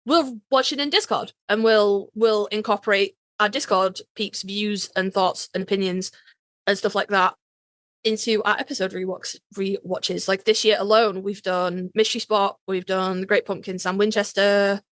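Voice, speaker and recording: A woman's voice: medium pitch, fairly even intonation, normal speed, fairly bright tone, no echo. Woman podcaster, dry close sound, informal. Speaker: podcast co-host. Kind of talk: casual podcast chat about a fandom.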